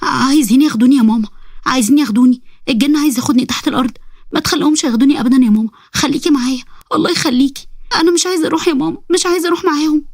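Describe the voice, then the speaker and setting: A jumpy, terrified young Egyptian woman, played by a woman narrator, her voice pushed up high as she pleads. She talks very fast, with no room in the sound. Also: a little mellow, no echo, clean studio sound. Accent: Egyptian Arabic. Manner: pleading, panicky.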